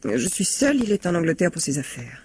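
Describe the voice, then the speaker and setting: A woman playing a defensive, indignant wife under police questioning in a radio mystery, with a breathy, husky voice and haughty manner. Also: low voice, big pitch swings, brisk, darkish tone, no echo, full character voice. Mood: guarded. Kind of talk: French radio mystery drama acting.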